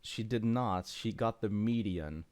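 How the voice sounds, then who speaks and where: A man's voice: fairly low pitch, unhurried, darkish tone. A man chatting casually as a podcast host, emphatic and full of swearing.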